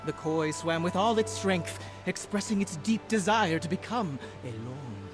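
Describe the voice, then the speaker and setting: A man's voice: fairly high pitch, lively intonation, unhurried, music in the background. A man voicing a grandiose storyteller in a video game, telling legends in a formal, dramatic, declaiming manner. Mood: grand, dramatic. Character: grandiose male storyteller of ancient legends, easily flustered.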